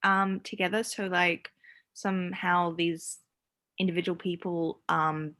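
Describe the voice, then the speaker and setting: Lowish-voiced woman, tentative questioner. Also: flat delivery, measured pace, sharp tone. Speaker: workshop participant asking questions. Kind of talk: casual question in a group meeting.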